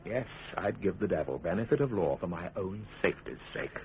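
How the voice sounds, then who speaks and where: An actor with an English accent playing a principled, shrewd middle-aged Tudor lawyer in a radio drama, speaking with measured, ironic eloquence. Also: male voice, low voice, animated, slight background noise. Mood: impassioned. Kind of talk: British radio drama acting. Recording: muffled lo-fi radio recording.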